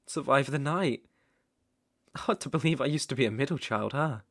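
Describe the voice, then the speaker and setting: A man's voice: medium pitch, no room sound, natural voice. Doting British male stalker, very close and dry, acted. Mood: wry, musing. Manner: tender, doting, wry, halting phrasing with soft chuckles. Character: devoted, possessive male stalker, outdoorsy and softly protective. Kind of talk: intimate ASMR roleplay acting.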